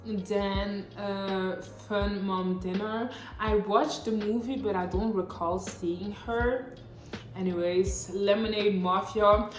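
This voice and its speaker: A woman's voice: medium pitch, fairly even intonation, slow pace. A woman in her late teens, a non-native English speaker, chatting upbeat in a casual scripted vlog with slangy asides. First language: not English. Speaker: vlogger. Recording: echoey room with faint music.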